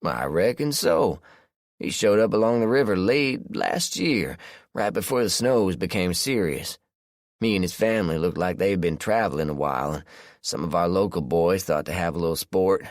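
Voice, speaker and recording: A man's voice: sits low, lively intonation, normal speed, no room sound, clean studio sound. A man voicing a friendly, easygoing rural man of about fifty with a Southern drawl, in an audiobook. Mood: storytelling. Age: 50s.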